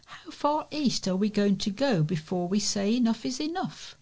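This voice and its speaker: A woman's voice: medium pitch, expressive, normal speed, darkish tone. A woman with an English accent, a volunteer newsreader, reading local news items aloud in an informal way.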